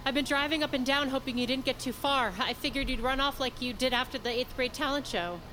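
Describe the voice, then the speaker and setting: A woman's voice: high voice, fast, bright, forceful tone. A woman playing a caring middle-aged mother in an audio drama, speaking earnestly and reassuringly. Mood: worried, relieved. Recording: noisy car ambience behind.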